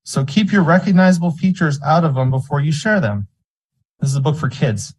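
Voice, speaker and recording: A man's voice: fairly high pitch, fairly quick, a little mellow, slightly rough, poor audio. A man speaking as a member of the public at a meeting, argumentative and indignant, making his case in a public comment. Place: school board meeting room.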